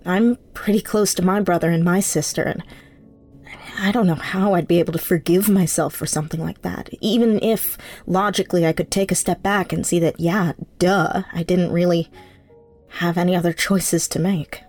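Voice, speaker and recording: An actress playing an empathetic, easygoing young woman, gentle and casual, in a naturalistic audio drama. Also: on the low side, steady tone, normal speed, faint music behind. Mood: sympathetic.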